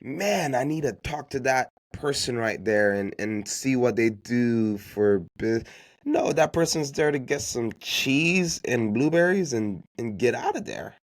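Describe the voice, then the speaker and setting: A man's voice: mid-range voice, expressive, measured pace. A man who grew up speaking Haitian Creole gives unscripted motivational business advice as a podcast host, emphatically repeating key phrases and posing rhetorical questions.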